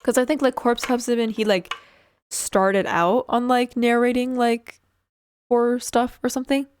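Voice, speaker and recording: A woman's voice: on the high side, fairly even intonation, a little slow, clean tone. A woman streamer chatting casually while building a model kit, rambling with lots of fillers and little laughs. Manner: rambling, filler-heavy, giggly, self-deprecating.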